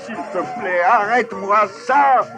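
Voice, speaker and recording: An actor voicing a fussy, easily exasperated older neighbor in a French cartoon dub, groaning and pleading. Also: male voice, sits high, slow pace, gravelly, full character voice. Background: cartoon music score.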